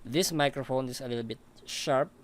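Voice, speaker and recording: A young man with a baritone voice, talking through a vocal mix with a relaxed, hesitant manner and many fillers. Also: a little fast, a little hiss or hum. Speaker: audio mixing tutor. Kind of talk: casual walkthrough of vocal mixing plugins.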